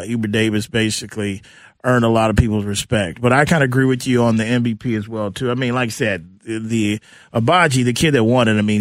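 Male sports talk host, informal and conversational, middling pitch, close dry sound. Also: dry recording.

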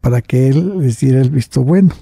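Gravelly, very dark-voiced older man. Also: medium pitch, fairly quick, no echo. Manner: storytelling with tags like "right?" and "you see?", frequent restarts. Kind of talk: recounting personal memories in conversation.

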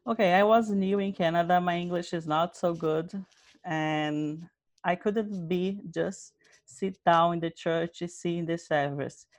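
A woman's voice: on the low side, fairly even intonation, measured pace, dry recording. Earnest woman, dry sound. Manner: earnest, simple non-native phrasing. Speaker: interview guest, Bible study leader. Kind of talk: personal testimony in an interview.

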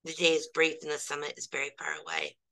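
A woman voicing a calm, wise male spiritual teacher who speaks gently and patiently. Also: low voice, fast talker, vocal fry, heavily acted. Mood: reassuring.

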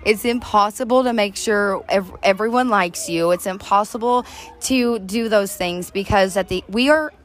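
Deep-voiced woman, earnest recovery podcaster. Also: normal speed. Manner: earnest, heartfelt, addresses listeners as "you guys". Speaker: podcast co-host. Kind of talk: faith-based recovery talk. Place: outdoors on an apartment back deck.

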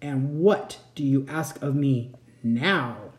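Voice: mid-range voice, big pitch swings, normal speed, a little mellow, lightly acted. A storyteller voicing a stern, angry magic male flounder in a children's fairy tale, with weighty pronouncements. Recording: roomy, echoing sound.

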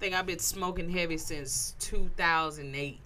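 A woman's voice: fairly low pitch, moderate pace, over a noisy crowd. A woman guest chatting casually on a podcast in a laid-back, slangy way. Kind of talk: casual chat.

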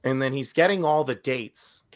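A man co-hosting a podcast, still raspy from illness, chatting animatedly with many restarts. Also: medium pitch, moderate pace. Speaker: podcast co-host. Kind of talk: casual chat.

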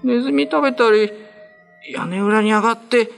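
A man voicing a young boy, puzzled and excitable, tattling childishly in a radio drama. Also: very high voice, normal speed, vocal fry, in a large hall, faint music behind, voice pushed up. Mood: excited tattling. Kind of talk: radio ghost-story drama.

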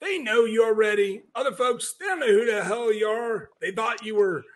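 A man's voice: high voice, moderate pace, fairly bright tone. An American man hosting a show, interviewing a guest in a casual, chatty style.

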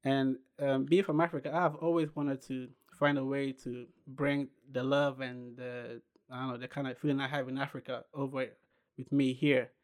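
A young man from Ghana talks about his life in a relaxed podcast interview. He speaks in a steady, level tone that barely rises or falls, and he often pauses and starts his sentences over. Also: mid-range voice. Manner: unhurried storytelling with pauses and restarts. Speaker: podcast guest, clothing brand founder. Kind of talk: casual interview chat.

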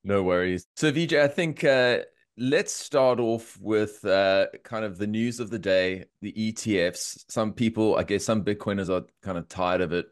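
Upbeat deep-voiced podcast host, sniffly cold. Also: male voice, moderate pace. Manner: upbeat, enthusiastic. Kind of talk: podcast interview.

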